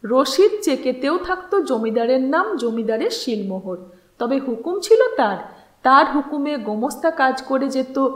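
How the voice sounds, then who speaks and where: A woman narrating a literary story as an audiobook storyteller, with expressive, measured and dramatic delivery and deliberate phrasing. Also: high voice. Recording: roomy, echoing voice recording.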